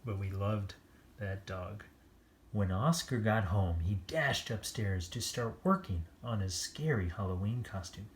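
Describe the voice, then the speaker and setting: Children's read-aloud host: unhurried, playful delivery, drifting into chatty asides about the pictures. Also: sits low, slight background noise. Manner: playful, lingering over pictures with exclamations. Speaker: children's story-time reader.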